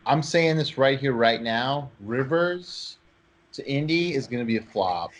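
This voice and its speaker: A man's voice: mid-range voice, animated, unhurried. A man hosting a sports talk show, giving opinionated takes in an informal, emphatic way.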